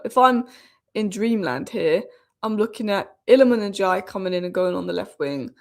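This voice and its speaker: A young woman with a cold, sniffly and a bit hoarse, chatting casually and with strong opinions as host of a football channel live stream. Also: mid-range voice. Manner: chatty, opinionated, rambling with fillers and restarts. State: has a cold, sniffly and sore-throated.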